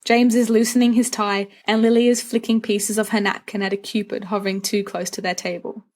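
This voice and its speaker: A woman's voice: mid-range voice, flat delivery, a little fast, darkish tone. A woman narrating a story from a script, reading expressively as for an audiobook. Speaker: audiobook reader of fan fiction.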